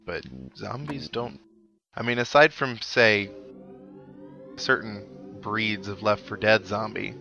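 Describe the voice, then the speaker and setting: Low, gravelly man doing game commentary, slightly unwell. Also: steady tone, takes it slowly, pushed and bright. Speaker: gaming host, let's-player. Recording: dull lo-fi mic, game music underneath. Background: video game music.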